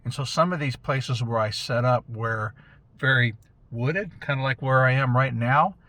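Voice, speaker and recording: A man talking casually to camera from inside a parked car, explaining things in a relaxed, conversational way. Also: medium pitch, moderate pace. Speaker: vlogger, amateur radio operator. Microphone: small camera mic in the car.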